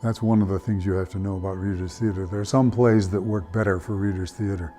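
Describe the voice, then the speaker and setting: An older man speaking reflectively in an interview, with measured, articulate and deliberate phrasing. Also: low voice, steady tone, dark tone, faint music behind. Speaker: theatre founder and director.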